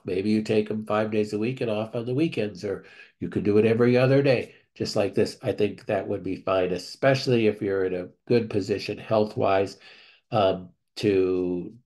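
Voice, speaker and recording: Male clinic doctor, lowish voice, measured Q&A answers over a video call. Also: dark tone. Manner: measured, thinking aloud with fillers and restarts. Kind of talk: reading questions aloud and answering them. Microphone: screen-share video call.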